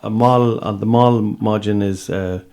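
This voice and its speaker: An Irish man with a fairly low voice in casual co-host banter. He feels his way between languages, tentatively repeating words with halting starts like a learner. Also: steady tone, a little mellow, slight background noise. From Ireland. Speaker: podcast co-host. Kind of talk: casual bilingual chat.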